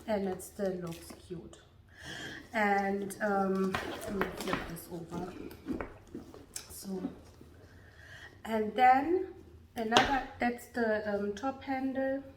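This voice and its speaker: A woman vlogger with a husky voice, chatting casually and hesitantly with many ums as she compares two handbags. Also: medium pitch, slight background noise. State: husky from a lingering illness. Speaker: beauty vlogger. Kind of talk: casual bag comparison vlog. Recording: roomy sound from a distance.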